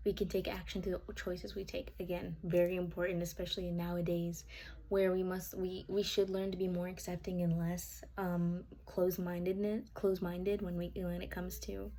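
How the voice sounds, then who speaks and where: A woman's voice: mid-range voice, dark tone. A young woman whose first language is Spanish, giving an informal class presentation from her notes, casual with fillers and self-corrections. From United States. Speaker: student.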